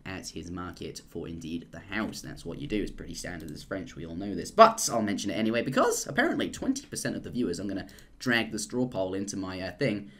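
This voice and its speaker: A young man casting a live strategy game, rambling and jokey, and getting excited at big plays. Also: deep voice, fast. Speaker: esports caster.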